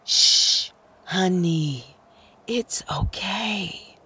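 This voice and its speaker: A woman's voice: on the low side, drawn-out words, bright, forceful tone, breathy edge, exaggerated voice. A woman playing a soothing, playful bride in a horror radio drama, coaxing and teasing. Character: soothing, playful bride humoring her nervous husband.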